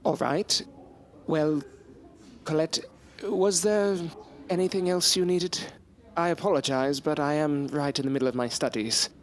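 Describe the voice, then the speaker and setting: An actor playing an absorbed, aloof, ambitious gentleman scientist in a gothic audio drama, with formal theatrical phrasing. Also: a little high, unhurried, natural voice. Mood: distracted, polite.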